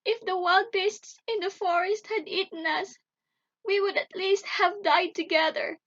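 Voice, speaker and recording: A woman's voice: very high-pitched, animated, unhurried, fairly bright tone, muffled recording, pitched above the performer's own voice, exaggerated voice. A woman voicing a frightened, sorrowful little girl in a fairy tale, plaintive and pleading. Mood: sobbing, despairing.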